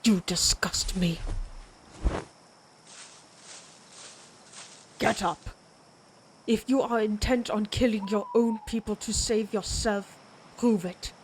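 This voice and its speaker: A woman's voice: mid-range voice, a little hiss or hum, natural voice, low-quality recording. A woman playing a proud, menacing vampire warrior of ancient Germanic tribes in a dramatic audio roleplay, speaking in a taunting, predatory way. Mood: contemptuous challenge. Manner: slow, taunting, theatrical, predatory.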